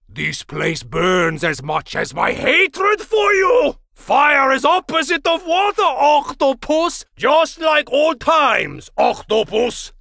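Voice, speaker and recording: An actor playing a crazed, vengeful chef, a melodramatic video game villain who rants and threatens in clipped, broken English. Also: male voice, very high voice, gravelly, bright, forceful tone, dry recording, clean studio sound, exaggerated voice. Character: crazed, vengeful chef obsessed with exposing an octopus. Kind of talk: over-the-top comedic video game villain acting.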